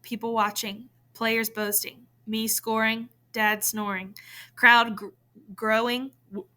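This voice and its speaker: Woman, very bright voice, slightly high pitch, expressive storybook narration. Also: takes it slowly. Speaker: teacher reading a novel aloud to students. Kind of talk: expressive audiobook-style reading of a verse novel.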